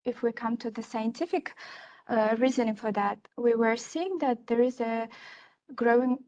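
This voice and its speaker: A woman's voice: a little high, steady tone, moderate pace, darkish tone, muffled recording. A young woman gives an academic conference talk on her research, speaking hesitantly with many fillers and restarts. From Kazakhstan. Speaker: research assistant presenting her research.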